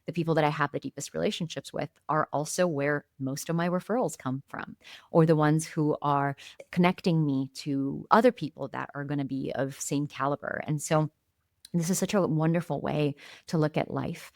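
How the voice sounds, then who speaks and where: Very low-pitched woman, reflective podcast host. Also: steady tone, normal speed, no echo, studio quality. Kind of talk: reflective conversational interviewing.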